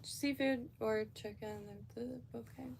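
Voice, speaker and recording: A woman from Florida in her thirties, speaking, rambles through a casual live stream, full of fillers and restarts. Her voice sits higher than most and has a mellow, warm color. A faint hiss of noise runs under the recording. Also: steady tone, unhurried, vocal fry.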